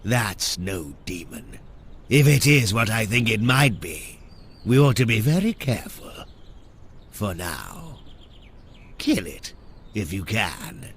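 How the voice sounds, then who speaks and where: A man playing a wary, grave fighter in a video game, speaking cautiously and deliberately. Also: lowish voice, very animated, a bit bright, a little hiss or hum, heavily acted. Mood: wary, grim. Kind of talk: scripted video game voice acting. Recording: faint birdsong behind.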